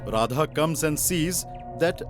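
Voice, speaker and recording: Guy narrating a kids story, voice on the high side, music clearly playing behind. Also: animated, takes it slowly. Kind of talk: scripted children's story narration.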